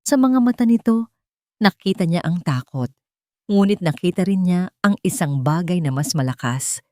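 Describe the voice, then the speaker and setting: Low-pitched woman narrating dramatic fiction. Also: animated, moderate pace, warm tone, no echo, clean studio sound. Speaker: storyteller narrator. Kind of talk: dramatic scripted romance-thriller audio story narration.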